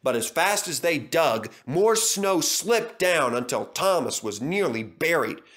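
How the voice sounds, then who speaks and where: A man's voice: a little high, very animated, a little slow, fairly bright tone. A man reading a children's story aloud as a scripted narration.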